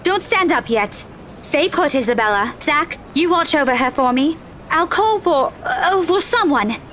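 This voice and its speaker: An actress playing a worried, caring young friend in a visual novel game. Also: female voice, high-pitched, lively intonation, measured pace, a bit bright, a little hiss or hum. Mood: worried, urgent.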